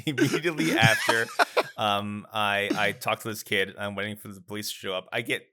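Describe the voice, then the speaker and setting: Very bright-voiced easygoing priest. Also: male voice, lowish voice, normal speed. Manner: easygoing storyteller, laughs along. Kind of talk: casual podcast chat.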